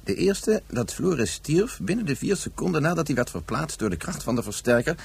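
A man's voice: a little high, sing-song, brisk, vocal fry, husky, heavily acted. A man playing a stern, formal, rational scientist in a radio play, speaking precisely and insistently. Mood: coldly formal.

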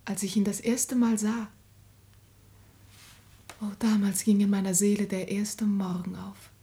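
An actress playing a devout, lovesick young daughter in a classic radio drama, fervent and anguished, often breathy and close to a whisper. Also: female voice, medium pitch, little pitch movement, a little slow, warm tone, natural voice. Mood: rapturous. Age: young adult. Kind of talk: classic German stage drama radio acting.

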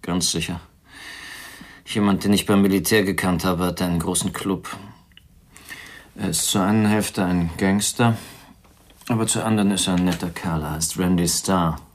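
An actor playing a polite, down-on-his-luck drinker, a weary gentleman with courteous, formal manners and a husky voice, in a noir radio drama. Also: low voice, fairly even intonation, normal speed. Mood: quiet, reflective.

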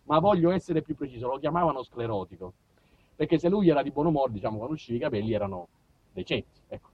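A man with a southern Italian accent performing a comic monologue, theatrical and mock-pompous, moving between muttered asides and loud outbursts. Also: mid-range voice, expressive, quick pace, highs cut off, a little hiss or hum. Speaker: stage monologist. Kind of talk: comic autobiographical storytelling to a live audience.

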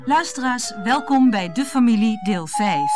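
A woman's voice: a little high, animated, unhurried. A woman presenting a radio play in a scripted introduction, with music behind her. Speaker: director and host of a radio play. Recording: loud flute-like music behind.

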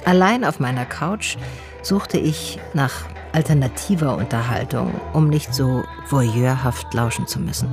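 Low-voiced, thoughtful woman narrator. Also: measured pace, music in the background. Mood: pensive. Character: calm, thoughtful female narrator and concerned friend. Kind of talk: literary first-person narration in radio drama.

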